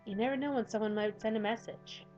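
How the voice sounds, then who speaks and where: A woman's voice: fairly high pitch, fairly even intonation, quick pace, a little mellow, lo-fi sound, soft background music. A woman reading a visual novel aloud with rambling, chatty commentary from a home desk mic. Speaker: gaming youtuber.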